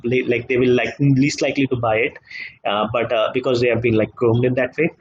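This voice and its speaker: Man, guest on a call, halting and repetitive delivery, dull lo-fi line. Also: mid-range voice, level tone, husky. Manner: halting, many fillers and repeated words. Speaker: guest economist. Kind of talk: live online discussion.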